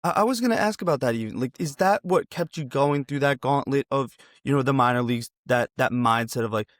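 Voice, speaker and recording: A man's voice: medium pitch, brisk, no room sound, studio quality. A man hosting a podcast, asking questions in a conversational interview style.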